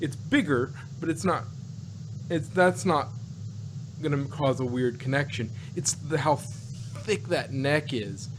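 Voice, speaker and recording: A man co-hosting a casual chat, rambling and halting as he restarts and repeats his phrases. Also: a little high. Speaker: podcast co-host. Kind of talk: casual chat, reviewing sci-fi. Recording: noisy home recording with hum.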